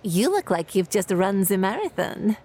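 A man's voice: high-pitched, very animated, moderate pace, warm tone, a little hiss or hum, voice pushed up. A man voicing a friendly female hotel receptionist with an Eastern European accent, speaking formally and politely. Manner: polite, formal service talk. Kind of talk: audiobook narration.

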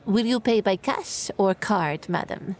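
Formal eastern european lady at the front desk, man putting her on, high and polite. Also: measured pace, a little hiss or hum. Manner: polite, formal service talk. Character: friendly female hotel receptionist, polite. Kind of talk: audiobook narration.

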